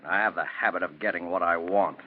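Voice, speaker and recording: Cold, grasping villain, muffled sound. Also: male voice, deep voice, quick pace. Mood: cold, smug. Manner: curt, menacing. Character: cold, grasping, arrogant businessman, male villain. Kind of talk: 1940s radio acting. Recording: dull old radio recording.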